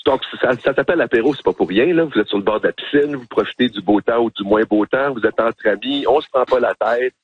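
A man with a Quebec French accent and a husky voice, chatting casually and playfully as a radio host calling in by telephone. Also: mid-range voice, quick pace, few pauses, no echo, highs cut off. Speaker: radio host, phone-in guest.

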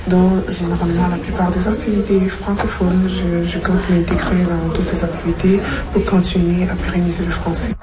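A woman's voice: lowish voice, flat delivery, moderate pace, a crowd in the background. A woman answering a radio interview earnestly, stumbling on some words. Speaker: summit participant.

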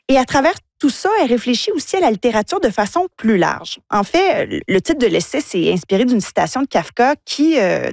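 A woman's voice: mid-range voice, no room sound, studio quality. A woman presenting a book recommendation on radio, articulate and enthusiastic.

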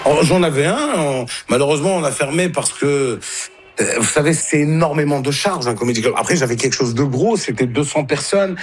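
A man from Côte d'Ivoire, a stand-up comedian talking in an animated, conversational way and often repeating words. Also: fairly high pitch, a little fast, breathy edge, faint music behind. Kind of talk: conversational storytelling and interview talk.

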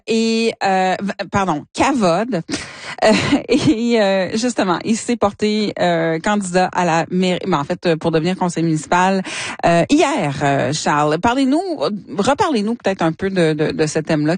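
Female radio host, dry studio sound, friendly, halting. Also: medium pitch, no room sound.

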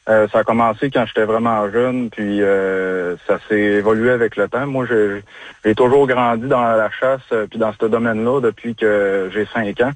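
A man's voice: lowish voice, fairly even intonation, no room sound. Hesitant young man with a Quebec accent. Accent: Quebec French. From Chaudière-Appalaches, Quebec. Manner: halting, frequent hesitations and restarts. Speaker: guest, bloodhound handler. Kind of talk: casual radio interview.

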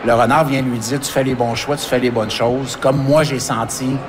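A man's voice: medium pitch, fairly even intonation. Québécois guy in his 50s, lots of euhs and restarts, noisy outdoor background. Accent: Québécois French. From Quebec. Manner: halting, many restarts and fillers. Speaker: author and forest firefighter. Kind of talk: radio interview.

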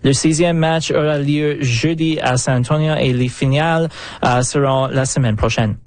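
A man's voice: medium pitch, fairly even intonation, moderate pace, darkish tone, no echo. Male sports reporter, close dry sound. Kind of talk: live radio sports update.

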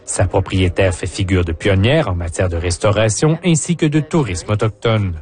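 A man's voice: on the low side, animated, moderate pace, darkish tone. A man narrating a scripted news report as a reporter.

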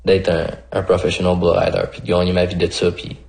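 A man's voice: low-pitched, monotone, fairly quick, gravelly, a little mellow. A man with a Québécois French accent chatting informally in an interview, peppering his talk with filler phrases. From Mascouche, Quebec, Canada. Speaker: rodeo bull rider being interviewed. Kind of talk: casual interview chat.

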